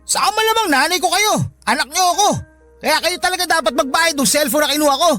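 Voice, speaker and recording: A woman's voice: high voice, fast, pushed and bright, soft background music. A woman with a Filipino accent playing a lazy, insolent adult child who bullies an elderly mother in a radio drama. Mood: defensive, resentful. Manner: argumentative, rude, demanding.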